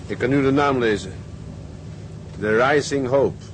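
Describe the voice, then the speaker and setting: A man acting as a calm, assured tug captain in a radio drama, with a measured, authoritative manner. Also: medium pitch, close to an everyday voice. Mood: calm, decisive. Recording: clear engine-like noise behind.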